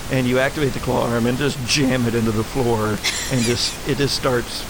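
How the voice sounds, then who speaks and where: A man's voice: mid-range voice, steady tone, moderate pace, background noise. A man working as game master, improvising narration for a tabletop story.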